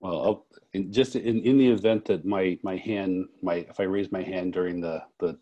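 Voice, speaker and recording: A man interviewing a guest conversationally, with halting, wry and wordy phrasing. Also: sits low, fairly even intonation, muffled recording. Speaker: interviewer, festival co-director.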